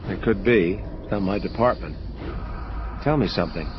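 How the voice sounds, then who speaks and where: An actor playing a terse, commanding angel detective in a hardboiled audio drama, curt and dry. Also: male voice, low voice, normal speed, lightly acted. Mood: dry, probing. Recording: dull sound over clear background noise.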